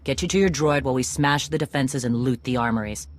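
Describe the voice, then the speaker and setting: A woman's voice: very deep voice, fast, no echo. An actress playing a tough, blunt female clan commander in a video game, with a dry, authoritative manner. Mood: frank, wry.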